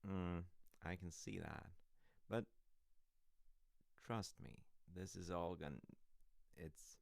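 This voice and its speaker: A man playing a sassy, loving husband in an intimate ASMR roleplay, teasing and halting as he talks to his wife. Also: deep voice, gravelly, no room sound, heavily acted. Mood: nervous, coaxing. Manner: teasing, halting, hushed stretches breaking into animated bursts.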